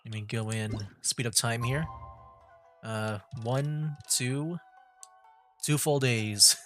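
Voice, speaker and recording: A man doing casual livestream gameplay commentary, joking and thinking out loud. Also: medium pitch, steady tone, slow, soft background music. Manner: joking, chatty, thinks aloud. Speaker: game streamer.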